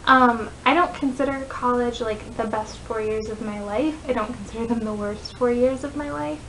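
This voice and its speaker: A woman's voice: a little high, slight background noise, poor audio. A woman in her twenties with an American accent, chatting casually as she gives book recommendations in a vlog. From northeastern United States. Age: 20s. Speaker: book vlogger.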